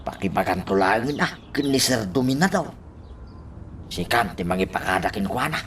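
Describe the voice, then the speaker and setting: A lowish voice that swings widely in pitch, over faint noise. It is a nosy, excitable village husband in a radio drama. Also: male voice, moderate pace. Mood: casual. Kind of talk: Ilocano radio drama acting.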